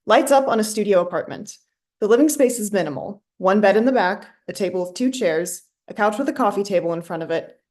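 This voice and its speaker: A woman's voice: medium pitch, darkish tone. A woman reading stage directions as scripted narration for a play reading. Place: remote online staged reading.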